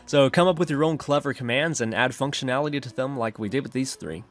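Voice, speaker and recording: Male tutorial host, medium pitch, step-by-step screencast narration over a music bed. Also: fast talker.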